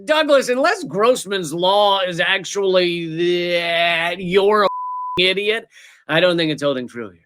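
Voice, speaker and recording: Man, comedy host, sarcastic, mocking, high voice. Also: expressive, unhurried, clean tone. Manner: sarcastic, mocking asides and shouted put-on bits. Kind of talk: satirical political commentary.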